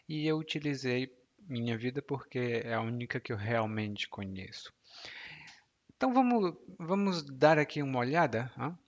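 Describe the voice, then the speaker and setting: A man, in his thirties, explains clearly and slowly like a patient teacher and laughs easily. His voice sits at a medium pitch. The recording sounds somewhat dull and muffled. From Ceará, Brazil. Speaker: Portuguese teacher. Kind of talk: podcast language lesson.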